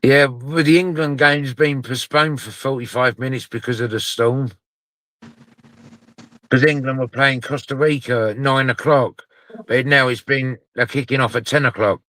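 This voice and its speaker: A man's voice: medium pitch, steady tone, normal speed, a bit bright, dry recording, poor audio. A man from the United Kingdom hosting a casual live stream, chatting in a laid-back way and laughing often. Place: outdoors or in a car.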